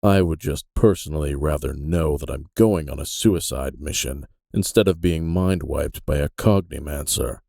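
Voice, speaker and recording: Very deep, gravelly, weary aging detective. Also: male voice, dark tone, no echo, heavily acted. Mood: bitter resignation. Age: older. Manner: dry, weary, halting. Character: aging, weary detective keeper, sardonic and resigned. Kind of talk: fantasy audio drama acting.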